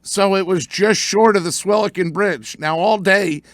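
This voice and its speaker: A man's voice: sits high, moderate pace, fairly bright tone, dry recording. An older American man hosting a sports radio show, chatting casually and telling stories with many fillers. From United States.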